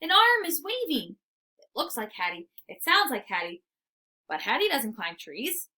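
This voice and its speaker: A woman reading a children's book aloud as an expressive storyteller. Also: high voice, normal speed.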